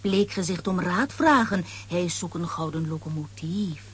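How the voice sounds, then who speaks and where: An earnest young woman, a chief's daughter in a children's radio play. Her voice is very breathy and husky, and she speaks slowly and solemnly in broken sentences. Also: fairly low pitch. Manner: solemn broken speech with dropped words.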